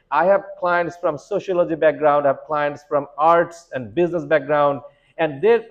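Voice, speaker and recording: A middle-aged man speaks in a somewhat high voice, explaining immigration steps with earnest repetition and frequent restarts. The recording is dull and lo-fi. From Bangladesh. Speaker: immigration lawyer. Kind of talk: advice talk to students.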